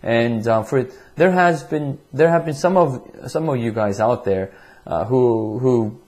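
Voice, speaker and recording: A man's voice: mid-range voice, unhurried, darkish tone. A man whose first language is Korean, giving an online test-prep lecture in English with many restarts and repeated words.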